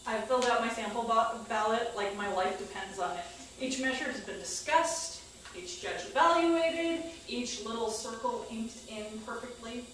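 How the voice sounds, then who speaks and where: A woman's voice: fairly high pitch, moderate pace, fairly bright tone. A woman reading a personal story aloud from a script on a small stage. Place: small indoor theater stage. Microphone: far camera microphone. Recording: echoey distant stage recording, faint noise.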